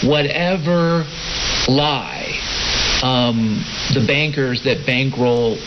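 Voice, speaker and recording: A man's voice: mid-range voice, very slow, lo-fi sound. An American man hosting a political radio show in an opinionated, sardonic manner, reading and commenting on news. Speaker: podcast and shortwave show host. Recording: hissy, noisy shortwave radio reception. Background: loud static hiss.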